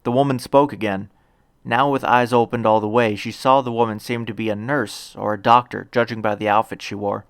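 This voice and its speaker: A man's voice: on the low side, fairly even intonation, a little fast, no room sound, studio quality. A man reading scripted third-person story narration.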